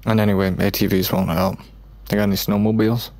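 An actor playing a jaded, sardonic but protective young man with a creaky voice, in a naturalistic audio drama. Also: lowish voice, flat delivery, fast talker, darkish tone. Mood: weary, dismissive. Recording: faint ambient noise.

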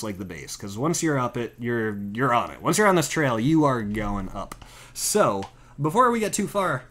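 A young American man chatting in a friendly, casual way as he commentates his video game livestream. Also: fairly high pitch. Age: young adult. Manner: chatty, friendly, thanking viewers. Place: indoor room, at a desk.

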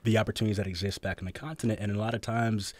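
Man in his thirties, american, fairly low voice, relaxed joking guest, studio mic. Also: very fast, no echo. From United States. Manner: easygoing, joking, laughs readily. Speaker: guest actor. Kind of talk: relaxed interview chat. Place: small radio studio. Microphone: studio broadcast mic.

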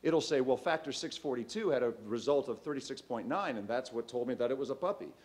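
A man in his thirties pitches his company from a stage in a large, darkened auditorium. He keeps it conversational, with plenty of ums and restarts. He speaks into a stand mic at a medium, ordinary pitch. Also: lively intonation, fast talker, darkish tone. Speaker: startup CEO pitching. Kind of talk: conference pitch talk.

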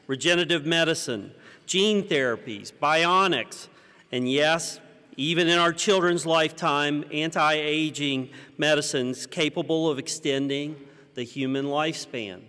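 An American man in his fifties giving a prepared conference keynote at a podium, with measured, rhetorical delivery and deliberate pauses. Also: fairly high pitch, bright, forceful tone, clear, resonant tone. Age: 50s. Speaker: keynote speaker. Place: large conference hall stage, indoors. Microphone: podium microphone. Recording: reverberant hall sound.

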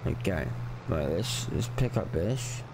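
A man with a cold, sounding croaky and stuffy, giving relaxed, halting commentary over his farming game. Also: sits low, background noise. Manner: relaxed, halting, repeats words. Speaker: gameplay commentator. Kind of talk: casual let's-play commentary.

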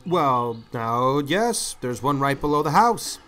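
A gentle, bashful older farmer, acted by a man in a storybook. He talks slowly and haltingly, in a mild manner, with faint music underneath. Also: medium pitch, sing-song. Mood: shy, hesitant. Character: shy, slow-spoken, gentle older farmer. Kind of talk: audiobook character acting.

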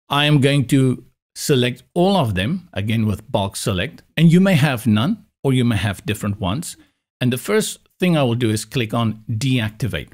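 A man's voice: mid-range voice, darkish tone. A South African man whose first language is Afrikaans, explaining a website setup step by step in a patient screen-recorded tutorial. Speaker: web design instructor.

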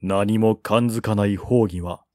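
A man reading a historical novel aloud as scripted narration, in a measured, formal storytelling manner. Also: lowish voice, steady tone, dark tone, no room sound, clean studio sound. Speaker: audiobook narrator.

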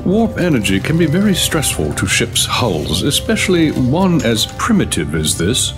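A man's voice: mid-range voice, wildly swooping pitch, normal speed, music in the background. A man playing a polite, literal-minded alien host program with a formal, precise, deferential butler manner in a sci-fi audio drama. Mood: polite, unruffled.

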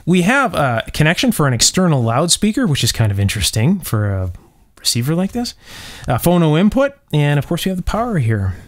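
A man's voice: a little high, sing-song, fairly quick. A man hosting a hands-on electronics restoration video, talking unscripted with enthusiasm and often thinking aloud as he marvels at what he finds. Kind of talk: unscripted hands-on demonstration commentary. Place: indoor electronics workshop at a bench.

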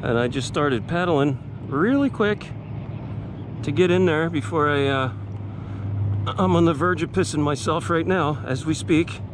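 A man's voice: on the high side, a little slow. A middle-aged man talking bluntly and profanely in a rambling self-filmed fishing vlog, first from a kayak on open water, then from inside a car. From New Jersey. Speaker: fishing vlogger. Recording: loud outdoor and vehicle noise. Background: loud wind, water and road noise.

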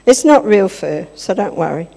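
A woman's voice: medium pitch, sing-song, moderate pace, vocal fry, warm tone. An older woman leading a playful children's talk in a church service, chatting and asking the children questions. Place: large church hall with congregation. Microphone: handheld microphone. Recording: long hall reverb.

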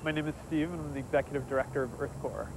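A man's voice: mid-range voice, steady tone, very fast, background noise. A man, director of an environmental group, explaining its history in an outdoor interview.

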